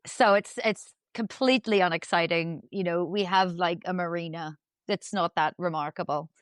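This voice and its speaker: A woman's voice: lowish voice, moderate pace, smooth, no room sound, clean studio sound. A middle-aged woman with a Northern Irish accent, chatting as a podcast guest in a chatty, self-deprecating way with plenty of laughs. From Northern Ireland. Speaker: guest, dressage rider. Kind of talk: casual podcast chat.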